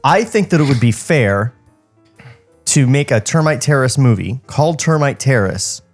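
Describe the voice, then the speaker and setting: A man's voice: medium pitch, expressive, normal speed, soft background music. A man co-hosting a podcast, chatting casually and reading out stage directions from a script. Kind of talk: casual chat and script narration. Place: podcast studio.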